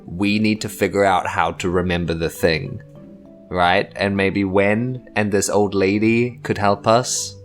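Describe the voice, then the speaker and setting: A man's voice: sits low, steady tone, measured pace, soft background music. A man with a New Zealand accent talking casually through plans as a tabletop game player. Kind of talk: casual chat, in-game discussion.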